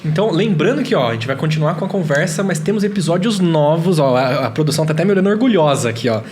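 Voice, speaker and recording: A man's voice: on the high side, animated, fast, darkish tone, slight background noise. A Brazilian man hosting a casual podcast interview with playfully formal, over-polite phrasing. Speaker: podcast host.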